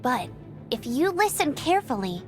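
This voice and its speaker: A woman's voice: high-pitched, very animated, moderate pace, a little mellow, soft background music. A woman voicing a brave, earnest and feisty young female knight in a video game, speaking emphatically. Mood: determined.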